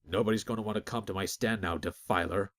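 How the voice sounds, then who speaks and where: A man voicing a fearful, resentful male baker who talks gruffly and dismissively, in an audiobook. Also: on the low side, steady tone, fast talker, a bit bright.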